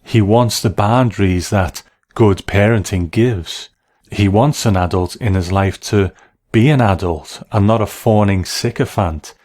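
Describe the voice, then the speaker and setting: A man delivering a measured, scripted video-essay commentary as narrator and critic. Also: lowish voice, darkish tone. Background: music.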